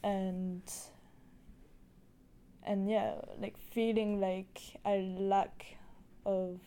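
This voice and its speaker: Flat, mellow-voiced woman interviewer. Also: medium pitch, clear, resonant tone. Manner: measured, searching for words. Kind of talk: casual conversation.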